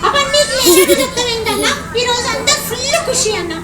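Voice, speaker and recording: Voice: animated, fast. ఒక పప్పెట్ కళాకారుడు ఆండీ అనే చిలిపి చిన్న పిల్లాడి బొమ్మకు కీచు గొంతుతో మాట్లాడిస్తున్నాడు, పిల్లలతో సరదాగా ఆటపట్టిస్తూ. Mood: cheeky. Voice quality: high squeaky puppet voice. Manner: chatty, teasing, addresses kids and calls everyone brother. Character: cheeky little boy puppet, chatty and playful. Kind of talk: live puppet show for children. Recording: echoey hall from far off, loud crowd and noise.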